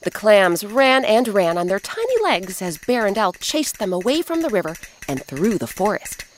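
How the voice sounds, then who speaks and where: A woman hosting and narrating a children's story in an expressive, scripted style with dramatic pauses. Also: mid-range voice, slight background noise. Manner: clear, animated, dramatic pauses. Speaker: host and narrator. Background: instrumental music.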